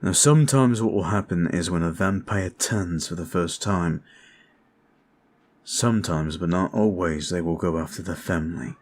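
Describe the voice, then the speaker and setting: A man playing a deep-voiced brooding vampire. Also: a little hiss or hum, natural voice. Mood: confiding. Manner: slow, intimate, confiding monologue. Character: brooding male vampire bound in service. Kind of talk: ASMR roleplay audio.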